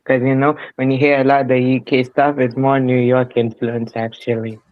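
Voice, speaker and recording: A man's voice: medium pitch, flat delivery, normal speed, no room sound, narrow, tinny audio. A man from London with a multicultural London accent, chatting casually in an agreeable, conversational way. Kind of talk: casual chat.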